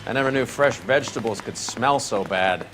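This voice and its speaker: An actor playing a cynical, wisecracking police detective in a video game, with a gruff, sardonic manner. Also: male voice, mid-range voice, normal speed, background noise, close to an everyday voice. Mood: mocking. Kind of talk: video game drama acting.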